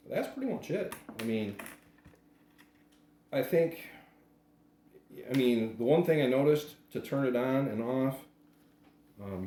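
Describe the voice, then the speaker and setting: A man's voice: medium pitch, warm tone. A man giving a casual, unscripted hands-on product unboxing in a plain-spoken, hesitant way. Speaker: product reviewer doing an unboxing. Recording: roomy echo, faint background noise.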